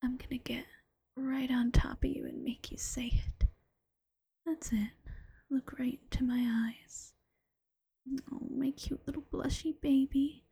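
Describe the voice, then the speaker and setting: A woman voicing an affectionate, teasing bunny-girl girlfriend in a slow, coaxing ASMR whisper. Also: high-pitched, fairly even intonation, vocal fry, dark tone, slightly rough, no echo, heavily acted. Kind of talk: ASMR roleplay.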